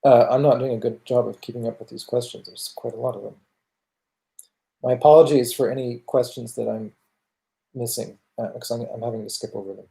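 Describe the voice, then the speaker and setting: A man with a Canadian accent answering viewer questions in casual livestream talk, thoughtful and halting as he goes. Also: medium pitch, steady tone, gravelly, dark tone, rough recording. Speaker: music channel host answering viewer questions.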